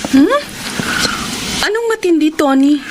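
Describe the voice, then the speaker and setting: An actress playing a coy shopkeeper in a radio drama, protesting defensively and playfully. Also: female voice, sits high, animated, slow, background noise. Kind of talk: radio drama acting in Tagalog.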